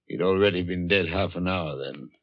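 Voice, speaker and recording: An actor in a 1940s radio drama playing an elderly, skeptical man who speaks in a measured, formal way. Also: deep voice, natural voice. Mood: measured, reflective. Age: older. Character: elderly man, skeptical, precise, shaken by the past. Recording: dull, muffled 1940s radio recording.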